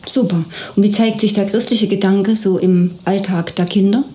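A woman's voice: medium pitch, fairly even intonation, normal speed, warm tone, slight background noise. A woman who reports for a local radio station, interviewing a guest in a friendly, encouraging way and then presenting a short piece.

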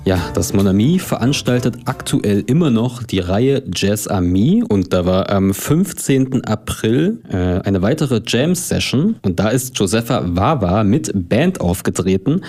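A man hosts a local radio show from the studio, his voice fairly low and mellow. He asks questions in an easygoing, chatty way, often with fillers. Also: big pitch swings, measured pace, few pauses, breathy edge, faint music behind. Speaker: radio host. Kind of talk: radio interview.

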